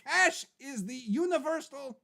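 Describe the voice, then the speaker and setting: A man hosting a live comedy web show, brash and bossy, joking and talking over his cohost, his voice getting hoarse. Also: very high voice, expressive, a little slow, bright, forceful tone. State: losing his voice. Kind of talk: loud comedic live-stream chat.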